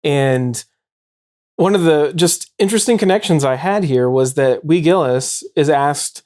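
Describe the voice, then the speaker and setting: Book show host, mid pitch, casual and explanatory, self-correcting. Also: measured pace, darkish tone.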